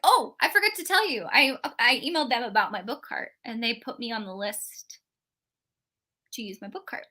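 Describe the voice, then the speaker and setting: A woman's voice: fairly high pitch, moderate pace, sharp tone. A woman co-hosting a live book readalong show, chatting casually and animatedly with a friend. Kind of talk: casual chat between friends.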